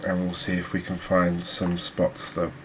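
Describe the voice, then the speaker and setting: Deep, slow, soft-spoken man, ASMR commentary. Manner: soft-spoken, unhurried, relaxed. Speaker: gaming video creator. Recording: clear background noise, ambience.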